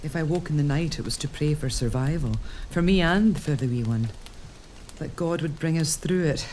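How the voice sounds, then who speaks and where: A woman's voice: low voice, moderate pace, darkish tone, a little hiss or hum, natural voice, poor audio. A woman playing a young pregnant noblewoman in a period audio drama, earnest and wry. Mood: frightened.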